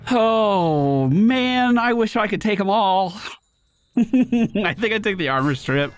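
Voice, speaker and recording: A man's voice: high-pitched, lively intonation, slow pace, clear, resonant tone. A man giving casual video game commentary, playfully thinking aloud and repeating his phrases. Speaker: gaming video host.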